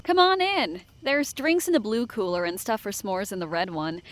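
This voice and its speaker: A woman playing a mild, welcoming, sensitive young female camp counselor in an audio drama, gentle and polite. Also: mid-range voice, moderate pace, natural voice.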